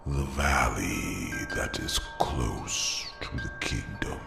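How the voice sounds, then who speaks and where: An ancient male dragon king, with an abyssally low voice thick with gravel. He speaks very slowly and breathily, in halting, regretful phrases suited to an ASMR fantasy tale. The voice echoes, with music behind it. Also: wildly swooping pitch, heavily acted. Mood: solemn, proud. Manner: slow, halting, solemn storytelling. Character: old male dragon, former Alpha king, solemn and regretful. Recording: echoing voice over music and chimes.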